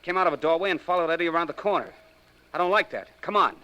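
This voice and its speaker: An American actor playing an easygoing, loyal young friend in a 1940s radio drama. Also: male voice, fairly high pitch, lively intonation, very fast, pushed and bright. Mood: alarmed, urgent. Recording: noisy old radio recording.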